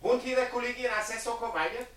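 A man's voice: extremely high pitch, fast talker, a bit bright. A man playing a chatty, obsequious police officer in a radio play, rambling and digressing in a servile way. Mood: officious, chatty. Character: chatty, obsequious, near-sighted male police officer angling for money.

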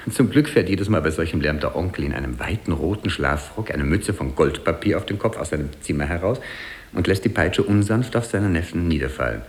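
Excitable old dancing master, swooping pitch. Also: male voice. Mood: amused complaint. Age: older. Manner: halting, animated, chuckling storyteller. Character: frail old French dancing master, excitable, put-upon, chattering. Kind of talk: 1950s German radio play acting.

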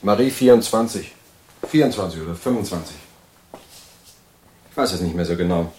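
A man's voice: low-pitched, animated, normal speed, darkish tone, a little hiss or hum. An actor playing a middle-aged asylum patient in an audio drama, recounting his life in a reflective, meandering and slightly snobbish way. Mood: reflective, detached. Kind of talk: monologue of recollection in audio drama.

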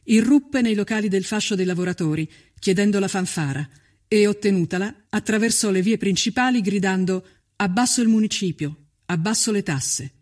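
A man reading scripted documentary narration in a solemn, measured and dramatic way. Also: high voice.

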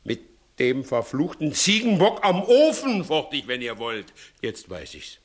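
An actor playing a shifty, blustering village judge in a theatrical 1960s German radio verse comedy. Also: male voice, a little high, huge pitch swings, a little slow. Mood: blustering self-justification.

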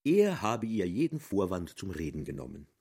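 Male radio drama narrator: very low, husky voice for literary prose. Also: sing-song, moderate pace, darkish tone.

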